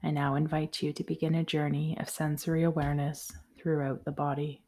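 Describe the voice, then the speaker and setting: Woman, meditation guide, low mellow voice, soothing, measured, soft-spoken. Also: little pitch movement. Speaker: yoga nidra guide. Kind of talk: guided meditation, slow instructions.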